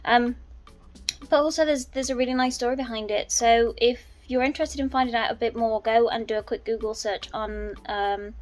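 A woman's voice: a little high, steady tone, normal speed. A woman, chatting casually through a walking vlog, rambling with lots of "so" and "um", filmed on a handheld phone. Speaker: vlogger. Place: outdoors on town streets and indoors at home. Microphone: phone held at arm's length.

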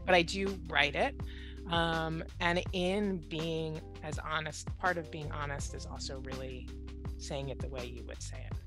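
A woman's voice: sits low, steady tone, measured pace, over music. A woman in her thirties, a writer being interviewed over a video call on a headset mic at home, talking candidly and laughing at herself. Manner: candid, self-deprecating, laughs often. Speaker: guest writer. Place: home room with bookshelves.

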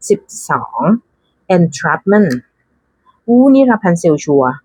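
A woman talks casually through an unboxing. Her voice is fairly low and husky, and she takes her time, thinking aloud with little exclamations and English terms mixed in. The sound is dry. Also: sing-song, very slow, darkish tone, dry recording, rough recording. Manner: mixes English card names into her talk. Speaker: tarot deck reviewer.

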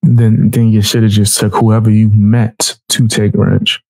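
A man's voice: on the low side, little pitch movement, a little slow, creaky, a little mellow, dry recording, rough recording. A man hosting a casual reaction commentary, talking in a mocking, slangy way. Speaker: reaction show host.

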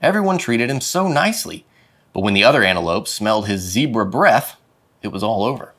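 A man reading a children's picture book aloud with dramatic, expressive phrasing. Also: fairly low pitch, fairly quick. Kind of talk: expressive storybook read-aloud. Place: indoor studio with plain dark backdrop.

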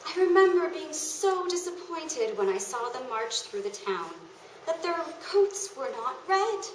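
A young woman voicing a 19th-century townswoman's recollections in an expressive, theatrical dramatic reading. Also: high voice, measured pace. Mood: wistful, animated. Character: 19th-century townswoman fondly recalling her youth. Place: indoor meeting room. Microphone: camera microphone at a distance. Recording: echoey room from far off, faint noise.

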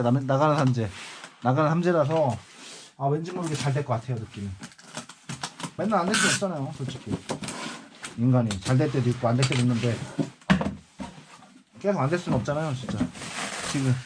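A man's voice: mid-range voice, noisy background. A man in his forties chatting casually while packing parcels for his small online shop, musing aloud and sighing now and then. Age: 40s. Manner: rambling, musing aloud, lots of sighing asides. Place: indoor work space packing parcels.

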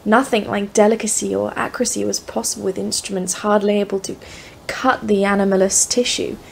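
A woman's voice: mid-range voice, fairly even intonation, fairly quick, vocal fry, slight background noise, natural voice. A woman playing an earnest expedition leader, narrating events formally in an audio drama. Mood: awed. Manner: formal, literary phrasing. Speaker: voice actor in an audio play. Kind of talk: scripted first-person narration, audio drama.

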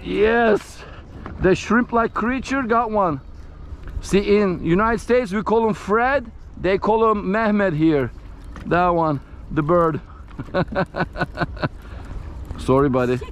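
A middle-aged man hosting a fishing vlog from a small boat at sea, cheering loudly over each fish he catches. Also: high voice, slow, pauses often. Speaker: fishing vlogger host. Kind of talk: casual fishing vlog commentary. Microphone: action camera on the boat. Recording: outdoor wind with music bed.

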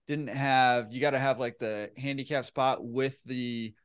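A man's voice: medium pitch, steady tone, measured pace, clean tone. A man in his thirties hosting a podcast, easygoing and chatty, speaking into a headset on a video call. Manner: easygoing, laughs along, short affirmations. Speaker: podcast host. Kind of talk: casual interview chat. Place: room with bookshelf.

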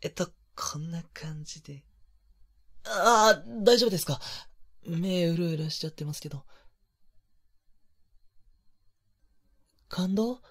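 Man acting bashful young man, soft breathy voice. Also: a little high, moderate pace. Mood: tender, shy, then concerned, gentle. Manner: hesitant, bashful, apologetic, gentle. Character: polite, flustered young man, secretly an online idol. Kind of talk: romantic situation voice drama.

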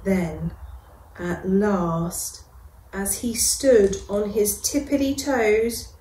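A middle-aged woman, a reception class teacher, reading a picture book aloud to children with gentle, expressive delivery and dramatic pauses. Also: medium pitch. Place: school classroom, indoors. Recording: echoey classroom.

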